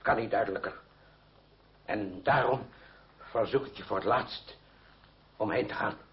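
A man's voice: lowish voice, unhurried, lightly acted. Man playing a stern older uncle, muffled recording. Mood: cold anger. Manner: curt, commanding. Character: stern, hot-tempered older uncle, commanding and unforgiving. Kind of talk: Dutch radio play acting. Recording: dull, noisy old radio recording.

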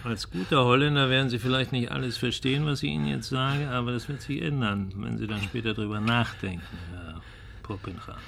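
An actor playing a cynical male company boss in a radio drama, speaking with deliberate, mocking condescension. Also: medium pitch, steady tone, gravelly, fairly bright tone, slight background noise. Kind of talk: German radio drama acting.

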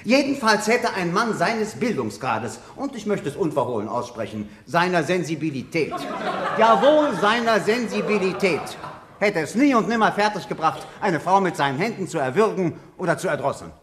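Eloquent defence lawyer, indignant, emphatic, animated pitch, roomy echo, radio courtroom play. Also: male voice, moderate pace. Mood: emphatic pleading. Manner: oratorical, emphatic. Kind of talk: German radio courtroom drama acting. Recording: reverberant courtroom-like room sound.

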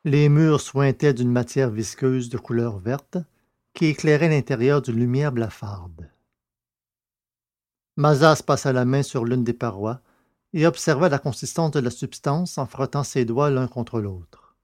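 A man's voice: mid-range voice, a little slow, darkish tone. A male audiobook narrator with a mid-range voice. He reads scripted, literary science fiction prose in careful phrases, like a podcast host presenting a serial.

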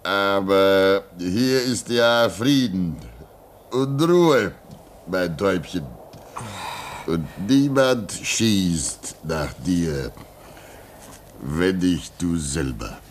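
Slow, low-voiced lonely devout man. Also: lively intonation, slight background noise, heavily acted. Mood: soothing, sly. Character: lonely, devout radio operator Wladimir at an Arctic station. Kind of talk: radio play acting.

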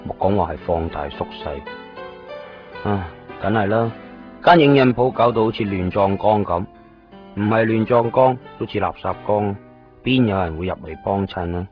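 A man's voice: lowish voice, normal speed, soft background music, lightly acted. A man acting a brooding, self-questioning young man in a 1990s Cantonese radio drama monologue, with a Hong Kong accent. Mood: wistful, musing. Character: brooding young man musing on his own emptiness. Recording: dull lo-fi 1990s radio recording.

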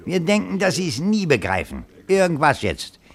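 Ein Schauspieler spielt im Hörspiel einen gelassenen, umgangssprachlich tröstenden Mann mit Kneipenweisheiten. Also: male voice, a little high, huge pitch swings, normal speed, exaggerated voice. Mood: philosophizing. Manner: colloquial, consoling, philosophizing. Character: folksy, placid man dispensing pub wisdom. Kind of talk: naturalistic German radio drama dialogue.

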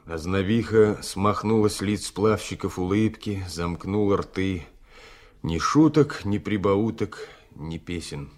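An actor as a grave storyteller, giving solemn, measured literary narration in a Soviet radio drama. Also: male voice, deep voice, a little mellow. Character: grave storyteller recalling hard days. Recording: 1970s radio play, faint music behind.

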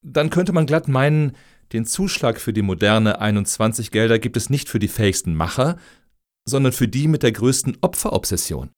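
Man as wry, animated applicant. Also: medium pitch, moderate pace, lightly acted. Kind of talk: satirical radio play dialogue.

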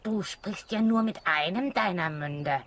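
An actress playing a practical, fussing housekeeper duck in a children's radio play. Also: female voice, lowish voice, measured pace, a bit bright. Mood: fussy, chiding.

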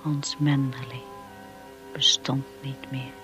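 An actress playing a wistful, shy young woman narrating her memories in a radio drama, hushed, breathy and dreamy. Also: very deep voice, level tone, slow, over music.

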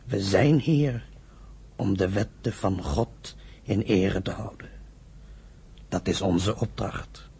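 A stern patriarch of a radio play, devout and solemn. His voice is low and very husky, and he admonishes in slow, measured phrases. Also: mellow, full character voice. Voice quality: husky, gravelly. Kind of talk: radio drama acting.

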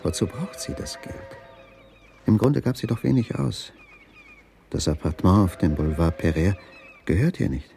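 A man's voice: low-pitched, animated, moderate pace, vocal fry, pauses often, dark tone. An actor playing a bewildered, brooding husband in a radio crime drama, questioning and pondering his wife's secret. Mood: brooding, realising. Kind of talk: radio crime drama dialogue and inner monologue.

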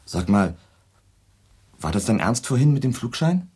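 An actor playing a blunt, sardonic young son in a radio play, flippant and direct. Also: male voice, mid-range voice, very animated, measured pace, a little mellow, husky, exaggerated voice. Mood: teasing. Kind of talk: German radio play acting.

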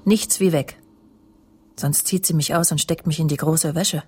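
A low-pitched female voice a prickly, brooding career woman in a radio drama. She is clipped and defensive, and confides her suspicions like private thoughts. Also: fairly even intonation, a little slow, darkish tone, slight background noise. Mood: suspicious, confiding. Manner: indignant, clipped, confiding in inner monologue. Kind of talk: radio play dialogue and first-person narration.

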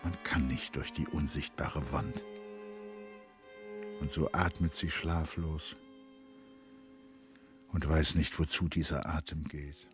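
A man narrating a literary radio drama in a measured, sombre manner. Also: extremely low pitch, steady tone, music in the background.

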